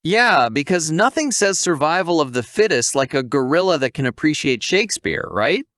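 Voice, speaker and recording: A man's voice: on the high side, sing-song, normal speed, fairly bright tone, no echo, studio quality. A man narrating a scripted comic story recap in a conversational, wisecracking way, with asides to the listener.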